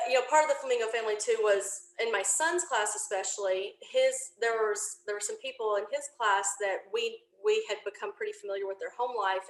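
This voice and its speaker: A woman in her forties chatting casually as an interview guest, talkative and quick to laugh. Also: mid-range voice. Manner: chatty, animated storytelling, laughs easily. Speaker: podcast creator, interview guest. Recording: roomy, echoing room sound.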